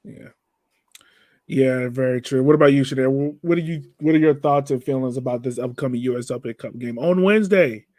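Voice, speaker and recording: A man's voice: mid-range voice, brisk, darkish tone, no room sound, studio quality. A man hosting a casual sports podcast, talking in an informal, slangy way. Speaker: podcast host.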